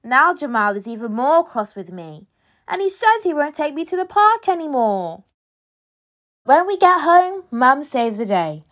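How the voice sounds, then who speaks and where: High-pitched, animated woman reading a storybook. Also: unhurried. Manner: animated, dramatic delivery. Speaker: storybook reader. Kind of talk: children's picture book read-aloud, expressive storytelling.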